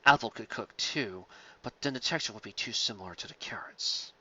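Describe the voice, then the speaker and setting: A man voicing a scholarly, fussy pony food critic with a grave, measured manner, on a dull lo-fi recording. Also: lowish voice, pushed and bright, voice pushed down. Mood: grave, critical.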